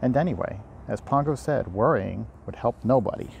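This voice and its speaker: A man's voice: medium pitch, animated, normal speed, gravelly. A man reading a storybook aloud informally outdoors, in an unpolished way with occasional stumbles. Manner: unpolished, stumbles and rereads lines. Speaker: amateur audiobook reader and host. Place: outdoors by a river. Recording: faint outdoor ambient noise. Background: faint outdoor ambience.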